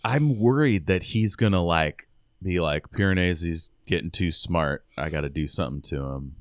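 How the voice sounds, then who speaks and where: A man with a low, clean, resonant voice co-hosts a book podcast. He recaps the story casually, paraphrasing and raising questions. The sound is dry and free of room echo. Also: normal speed. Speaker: podcast co-host recapping a novel. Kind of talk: casual chat with read-out recaps.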